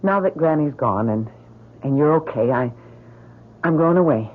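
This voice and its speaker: A woman's voice: low-pitched, lively intonation, normal speed. An actress playing a strong-willed, fatalistic young woman, earnest and melodramatic, in an old-time radio drama. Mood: sorrowful. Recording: muffled old radio with faint noise.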